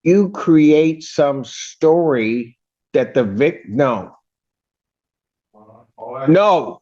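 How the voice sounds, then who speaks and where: Stern, angry judge in a dull recording. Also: female voice, sits low, animated, drawn-out words, dark tone. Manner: scolding, blunt, emphatic. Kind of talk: stern courtroom address. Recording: dull, lo-fi courtroom audio.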